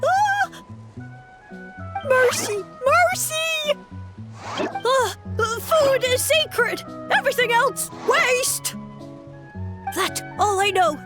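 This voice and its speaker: A tiny panicky mushroom critter for a game: piercingly high, slow broken phrases, simple-minded. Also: female voice, animated, over music, exaggerated voice. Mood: pleading, panicked. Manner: broken, clipped telegraphic phrases. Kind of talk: video game voice acting.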